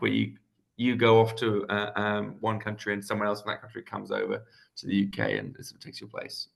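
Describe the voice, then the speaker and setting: Gravelly young man, chatty. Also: on the low side, a bit bright. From England. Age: 20s. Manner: friendly and chatty, with hesitant fillers and restarts. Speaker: guest speaker, economist. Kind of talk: casual explanatory talk to students.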